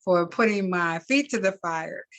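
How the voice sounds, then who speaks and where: A woman reading fiction aloud as a host, expressively and deliberately, sometimes repeating words. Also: lowish voice, a bit bright. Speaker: reader and event host.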